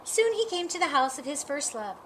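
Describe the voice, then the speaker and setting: A woman reading a children's picture book aloud in an expressive, deliberate way. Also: high voice, slight background noise. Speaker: storybook reader.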